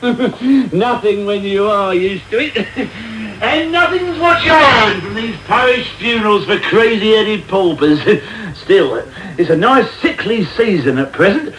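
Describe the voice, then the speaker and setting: An actor playing a fussy, oily, ghoulishly cheerful undertaker in a radio play, chuckling and wordy. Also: male voice, sits high, expressive, takes it slowly. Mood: jovial, unctuous. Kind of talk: British radio drama acting. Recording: roomy, noisy medium-wave radio tape with music.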